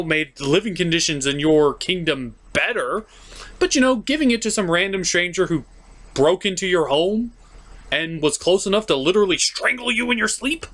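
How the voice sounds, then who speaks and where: A man's voice: fairly high pitch, a bit bright. A man narrating a comedic film review in a sarcastic, exasperated manner.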